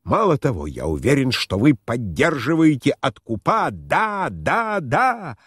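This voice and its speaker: A man's voice: medium pitch, huge pitch swings, measured pace, a bit bright, slightly rough, dry recording, studio quality, exaggerated voice. A man voicing a furious, drunken, defiant newspaper man in an audiobook, declaiming his accusations emphatically.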